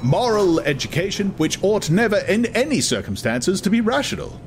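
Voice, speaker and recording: A man's voice: high voice, big pitch swings, moderate pace, noisy background, pitched above the performer's own voice. A man voicing a pompous, domineering director in an audiobook, lecturing his students emphatically. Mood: pompous, instructive. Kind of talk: dramatized audiobook character.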